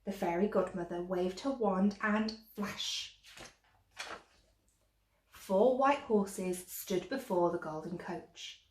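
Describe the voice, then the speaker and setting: A woman's voice: mid-range voice, slow pace, pauses often, a little mellow, slight background noise. A woman storyteller reading a children's tale expressively, like a teacher to young listeners. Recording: echoey room.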